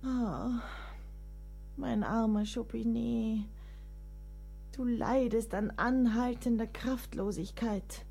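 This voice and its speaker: Middle-aged woman writer for radio drama: mellow, devoted, wry and motherly, faint music behind. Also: on the high side, slow pace, gravelly, slightly rough, exaggerated voice. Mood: tender, admiring. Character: devoted, exasperated woman writer nearing forty. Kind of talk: radio play acting.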